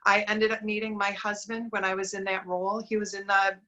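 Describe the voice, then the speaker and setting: A woman's voice: mid-range voice, flat delivery, fairly quick, bright, forceful tone, dull audio. A middle-aged woman with a Wisconsin accent, talking upbeat and at length as a guest on an interview podcast. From Wisconsin, USA. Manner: upbeat, talkative, frequent affirming murmurs. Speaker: guest, diversity recruiting business owner.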